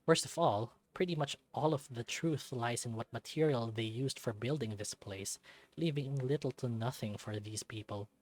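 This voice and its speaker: A man reading a visual novel aloud as expressive first-person scripted narration. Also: mid-range voice, normal speed.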